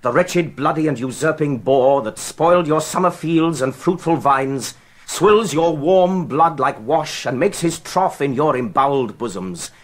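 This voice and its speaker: An actor playing a bold, hopeful young nobleman rallying his army, declaiming Shakespearean verse in measured phrases with a husky voice. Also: on the high side. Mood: stirring. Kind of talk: classical Shakespearean stage acting.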